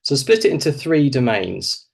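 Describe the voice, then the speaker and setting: A man presents in the role of an adviser to students, sounding snuffly with a cold. His pitch is middling and his delivery is informal and explanatory, often built around questions he then answers. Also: very animated, moderate pace, creaky, husky. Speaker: presenter, doctor advising medical school applicants.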